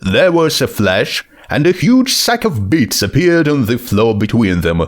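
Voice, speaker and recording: A man narrating a scripted story as a fan fiction reader. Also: mid-range voice.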